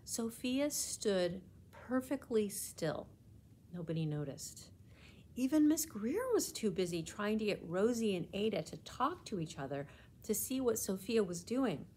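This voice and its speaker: A woman storyteller with a medium-pitched voice reads a children's book aloud. Her phrasing is deliberate and expressive, and there is faint noise in the background. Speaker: library storyteller.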